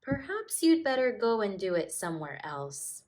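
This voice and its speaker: Storybook role: woman as calm, caring male skunk, gentle, melodic delivery. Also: mid-range voice, normal speed, voice pushed up, lightly acted. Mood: calm concern. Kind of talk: children's storybook character voice.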